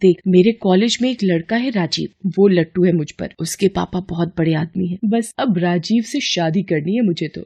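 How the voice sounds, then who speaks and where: A woman's voice: lowish voice, moderate pace, few pauses, mellow, husky, lo-fi sound. A woman voicing a vain, ambitious young elder sister in a Hindi cartoon story, with a dismissive, bossy, slangy way of talking. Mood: scornful, defiant. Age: young adult.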